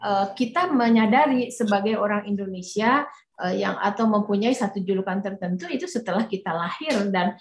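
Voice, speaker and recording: A woman's voice: medium pitch, lively intonation, normal speed, slight background noise. A woman interpreting a lecture, hesitant, with many fillers and restarts. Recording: roomy echo.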